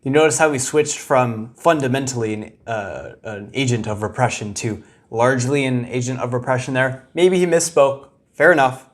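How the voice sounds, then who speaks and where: A man's voice: medium pitch, moderate pace, hardly any pauses. Casual young man reacting to a clip. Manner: casual, hesitant, laughs often. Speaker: commentator, video host.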